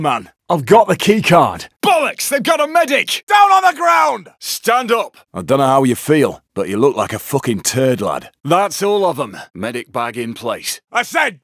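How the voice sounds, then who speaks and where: A sweary british heist crook from a game, gruff and aggressive, pitched high, really dry close sound. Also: male voice, a bit bright, no room sound, heavily acted. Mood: urgent, shouting. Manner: sweary, blunt, barking orders. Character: foul-mouthed, cocky, aggressive British bank robber. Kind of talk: video game combat barks.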